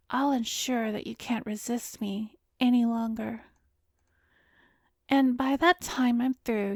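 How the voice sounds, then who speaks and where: A woman acts out a cruel, seductive vampiress in a hypnotic ASMR roleplay. Her voice is fairly high and very dry, close to the ear, often sinking into a breathy whisper. She speaks slowly, teasing and commanding as she savors her power. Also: a little mellow, no echo. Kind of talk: ASMR roleplay audio drama.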